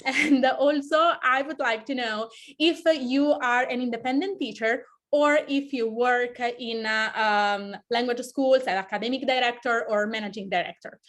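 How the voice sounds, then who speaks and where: A woman from Italy with an Italian accent, hosting a live online webinar over a video call in a friendly, welcoming way with halting phrasing. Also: a little high, a bit bright. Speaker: webinar host.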